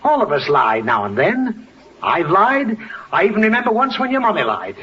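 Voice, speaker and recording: A man's voice: sits high, huge pitch swings, normal speed. A man playing an exasperated, easily riled father in a 1940s radio comedy, scolding with theatrical bluster. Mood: lecturing. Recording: muffled, hissy old radio broadcast.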